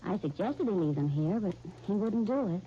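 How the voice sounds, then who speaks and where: A woman's voice: medium pitch, lively intonation, normal speed, dark tone, close to an everyday voice. A muffled old radio broadcast with light noise. An actress plays a young American woman, polite and reserved, who keeps her replies short and restrained. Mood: matter-of-fact. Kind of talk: 1930s radio drama acting. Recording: muffled, noisy 1939 radio broadcast recording.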